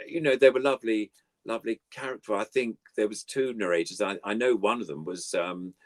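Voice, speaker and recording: A man's voice: lowish voice, steady tone, brisk, dry recording. An older man chatting casually as a guest, reminiscing in an effusive, rambling way. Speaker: guest puppeteer.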